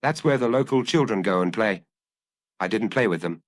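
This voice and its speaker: A man voicing a troubled young man, lively pitch. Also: moderate pace, dry recording, close to an everyday voice. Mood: wistful, explaining. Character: troubled, confessing young Frenchman, melancholy and resigned. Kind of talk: dramatised audiobook dialogue.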